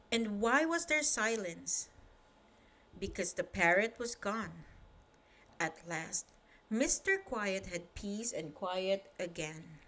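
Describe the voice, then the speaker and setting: Slow, expressive storybook-reading woman. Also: mid-range voice. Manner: lively expressive reading with questions to the listener. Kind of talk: children's bedtime story read aloud.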